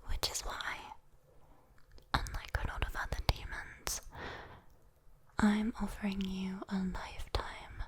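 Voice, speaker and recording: Casting: sweet, teasing demon girl for ASMR roleplay, acted by a woman, British accent, breathy whisper, unhurried, coaxing and soothing. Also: mid-range voice, monotone, close to an everyday voice. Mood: gentle, reassuring. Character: sweet, teasing female demon coaxing a sleeper for their soul.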